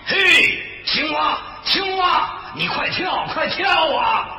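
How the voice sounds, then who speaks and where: Woman doing a slow, swooping, gullible big tiger. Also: mid-range voice, huge pitch swings, pitched below the performer's own voice, full character voice. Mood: calling out. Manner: slow, hesitant, drawn-out, bewildered. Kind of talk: children's storybook character voice. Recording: muffled, echoey reverb, loud music behind.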